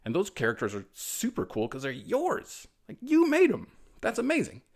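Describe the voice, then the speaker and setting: Male storyteller for kids, friendly and encouraging, highly varied pitch, relaxed asides. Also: normal speed. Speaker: writer reading his children's story. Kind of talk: animated storytime reading with casual asides.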